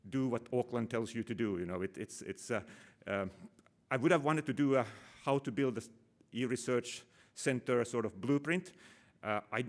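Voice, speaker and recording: A middle-aged man from Finland speaks at a conference in a loose, rambling way. He hedges, restarts and jokes at his own expense, his voice sitting at an ordinary middle pitch. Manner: casual, rambling, self-deprecating, many fillers and restarts. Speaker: conference speaker, operations manager. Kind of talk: conference talk. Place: conference room.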